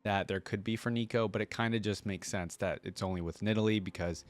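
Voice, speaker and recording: Low-voiced man, very dry close sound. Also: steady tone, brisk, no room sound, soft background music. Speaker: reaction video host. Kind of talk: reading wiki text aloud with casual commentary.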